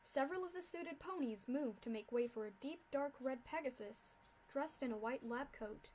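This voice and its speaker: A woman narrating a fan-written story aloud with expressive delivery. Her voice sits high and carries the story steadily. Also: normal speed, slight background noise, rough recording. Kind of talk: fanfic reading.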